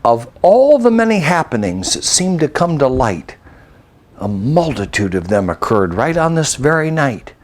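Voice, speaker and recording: An older American man recites a rhyming narrative poem in a measured, reflective storytelling manner. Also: medium pitch, very animated. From United States. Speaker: storyteller and poet.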